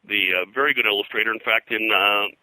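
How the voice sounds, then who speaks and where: A man being interviewed as a historian and publisher, explaining history in a halting, detail-heavy, conversational way. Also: on the low side, bright, forceful tone, dry recording, rough recording.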